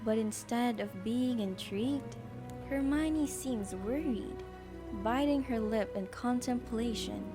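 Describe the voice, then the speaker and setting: Unhurried woman narrator with fairly high voice. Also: over music. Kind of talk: scripted audio drama narration.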